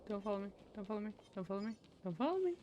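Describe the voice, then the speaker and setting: A woman's voice: mid-range voice, sing-song, rapid-fire, dark tone, lo-fi sound. A woman livestreamer giving casual, chatty gameplay commentary, thinking aloud in fragments. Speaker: game livestreamer. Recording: faint background noise behind voice.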